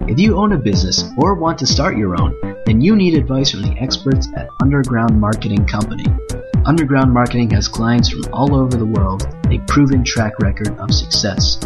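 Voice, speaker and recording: A speaker reading a scripted radio advertisement in a smooth promotional tone. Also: mid-range voice, lively intonation, brisk, music in the background.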